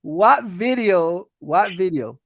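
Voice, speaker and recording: A man's voice: high voice, expressive, slow pace, a bit bright, no echo, clean studio sound. A man hosting a casual live quiz, teasing the players and repeating himself for emphasis. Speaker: host of a live quiz for his channel. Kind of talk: casual chat, game-show questioning.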